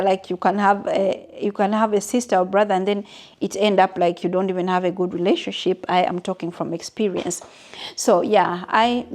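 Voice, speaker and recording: A woman's voice: mid-range voice, darkish tone. A woman in her fifties from Uganda, chatting casually and openly with her viewers in a question-and-answer vlog, often stopping to rephrase. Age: 50s. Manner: chatty, frequent restarts and asides to viewers. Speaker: vlogger.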